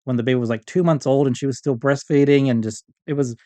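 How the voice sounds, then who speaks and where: A man's voice: medium pitch, fairly even intonation, fast, dark tone, dry recording. A middle-aged man chatting casually as a podcast guest, in an informal, rambling way. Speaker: podcast guest, audio fiction writer. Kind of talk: casual conversational interview.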